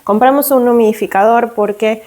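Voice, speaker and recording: A woman's voice: fairly high pitch, moderate pace, mellow. A woman in her thirties with an Argentine accent chatting casually to camera at home as a vlogger, full of fillers and restarts. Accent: Argentine Spanish. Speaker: vlogger and mother. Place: at home, indoors.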